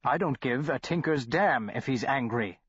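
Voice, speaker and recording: A man's voice: mid-range voice, expressive, normal speed, fairly bright tone, breathy edge, no echo, voice pushed up. Man voicing impatient imperious doctor, clipped, dry dull recording.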